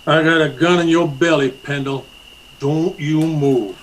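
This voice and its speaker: A highish, slow male voice with faint background noise, playing up menace. He is a spiteful rustic gunman in a radio Western, acted by a man. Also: steady tone, close to an everyday voice. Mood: menacing. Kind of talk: old-time Western radio acting.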